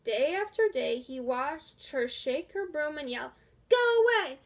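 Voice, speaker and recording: A woman reading a children's storybook aloud in a deliberate, expressive way. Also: high voice, vocal fry. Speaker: storybook reader. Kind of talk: scripted children's story narration.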